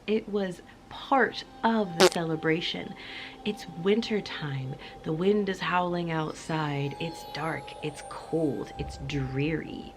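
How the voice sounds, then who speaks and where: A woman's voice: sits low, vocal fry, noisy background. A woman host chatting playfully to camera with cozy enthusiasm, her voice a little off because she is coming down sick, sometimes dropping into a spooky whisper. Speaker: channel host. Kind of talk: cozy casual chat to camera.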